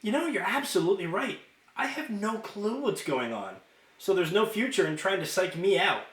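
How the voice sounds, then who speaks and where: A man voicing a giddy, taunting teenage girl in a storybook, playful and sing-song with drawn-out condescension. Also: high-pitched, expressive, a bit bright, echoey room, pitched above the performer's own voice. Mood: breezy.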